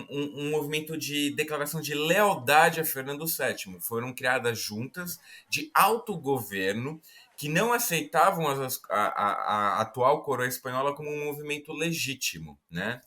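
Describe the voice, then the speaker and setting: A man's voice: medium pitch, bright, forceful tone. A Brazilian man hosting a casual history podcast, explaining at length in a chatty way with self-deprecating asides. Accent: Brazilian Portuguese. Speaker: podcast host.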